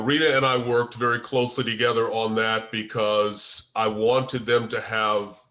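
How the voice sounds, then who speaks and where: Man speaking in halting, deliberate phrases. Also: on the low side, a bit bright. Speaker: writer and host of a table read discussion. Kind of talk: casual discussion, giving and asking for feedback.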